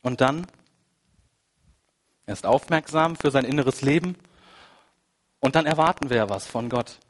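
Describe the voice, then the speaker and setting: Guy preaching a sermon w a cold, chatty w asides, goes quiet and reflective then ramps up, middle pitch. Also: unhurried. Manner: conversational, with fillers and asides. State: has a cold. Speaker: pastor. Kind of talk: sermon with personal anecdote.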